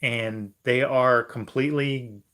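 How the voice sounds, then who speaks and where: A man's voice: medium pitch, steady tone, slow, fairly bright tone. A man co-hosting a livestream, chatting in an easygoing way with dry jokes. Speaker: co-host of a livestream. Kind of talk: casual livestream chat.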